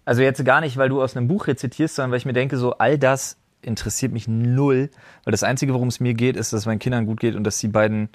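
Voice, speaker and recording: Male co-host, average pitch, very dry recording, emphatic and blunt in casual podcast discussion. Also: steady tone, fast, no echo. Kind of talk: casual discussion among friends.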